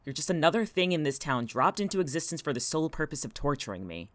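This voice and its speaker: A man's voice: a little high, big pitch swings, fast, a bit bright, dry recording. A man playing an irritable, tormented and defiant young man in an audio drama, terse and sweary. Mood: exasperated.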